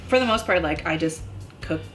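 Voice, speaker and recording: A woman with a notably low voice chatting casually about vegan life, a bit snarky, with lots of fillers. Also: lively intonation, a little fast, a bit bright, slight background noise. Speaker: vegan food YouTuber. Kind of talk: casual chat.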